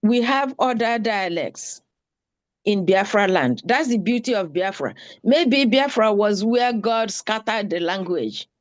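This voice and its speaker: Slow, earnest woman making an appeal. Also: medium pitch, animated, no echo. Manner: slow, pleading, repeats for emphasis. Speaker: town hall participant. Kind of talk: earnest spoken appeal at an online meeting.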